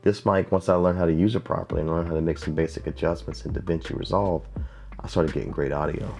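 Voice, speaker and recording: A man with a very low, deep voice talks through a casual video tutorial in a plain-spoken way, often repeating himself for emphasis. He speaks into a lav mic at a home desk, with faint music in the background. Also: steady tone, fast talker, creaky, darkish tone. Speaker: YouTube creator teaching video basics. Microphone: lav mic, wired then wireless.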